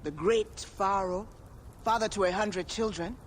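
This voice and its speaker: A man's voice: high-pitched, measured pace, slight background noise. An actor playing a shrewd, bookish and roguish relic dealer in a video game, theatrical and savoring his words. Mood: intrigued. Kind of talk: video game cutscene acting.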